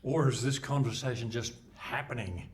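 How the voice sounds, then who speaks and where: A man's voice: mid-range voice, normal speed, close to an everyday voice. A man playing a pompous, wry radio presenter, bantering theatrically in a live hall. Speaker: radio play host. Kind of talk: live radio play acting. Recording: live hall with faint noise.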